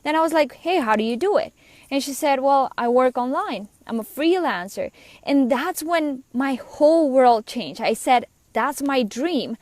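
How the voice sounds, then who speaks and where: A Colombian woman, a business coach with Spanish as her first language, telling her own story in an earnest, conversational way with quick asides. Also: sits high, animated, a little mellow, no room sound. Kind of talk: personal story monologue.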